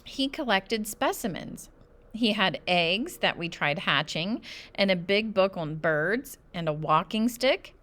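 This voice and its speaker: A woman reading a children's book aloud as an expressive narrator. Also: medium pitch, slow pace. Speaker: storybook reader.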